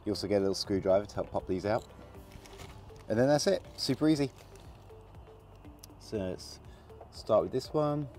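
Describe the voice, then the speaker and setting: A man with a gravelly voice from a cold, casually talking through a hands-on installation while he works. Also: fairly low pitch, normal speed, pauses often, soft background music. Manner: relaxed, chatty, talks while working with hands. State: hoarse from a cold. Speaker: product installer demonstrating. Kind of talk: casual how-to demonstration.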